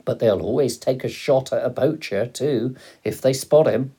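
A man's voice: mid-range voice, normal speed, mellow, husky, voice pushed up. A man voicing a roguish, gleeful father who tells stories with dramatic relish in an audiobook. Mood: mischievous, emphatic. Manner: exclamatory, relishing, dramatic delivery. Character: roguish, gleeful father, fond storyteller of poaching.

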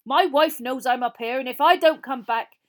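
A woman voicing a stubborn, plain-spoken old man in a storybook, with a rough, blunt manner. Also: sits high, lively intonation, brisk, voice pushed up. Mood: bold, accusing.

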